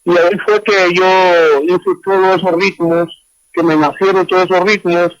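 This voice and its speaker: A man from Honduras rambles easily through his story, full of fillers and asides. His voice sits somewhat high. It comes over a dull, dry remote line with little room sound. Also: moderate pace, dry recording. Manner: relaxed, rambling with many fillers. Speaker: guest musician. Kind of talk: online class interview, casual storytelling. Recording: dry, dull lo-fi call audio.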